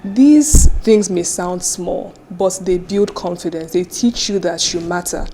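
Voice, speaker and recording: Nigerian lady founder, lowish voice, animated telling, bit of background hiss. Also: measured pace, rough recording. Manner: animated storytelling, acts out quoted voices. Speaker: business founder, guest. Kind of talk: interview answers.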